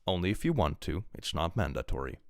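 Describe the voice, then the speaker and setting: A young man streaming live with a powerful voice, reading posts aloud and chatting casually, often jumping into excited exclamations. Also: fast, no echo. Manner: animated, jumps into high excited exclamations.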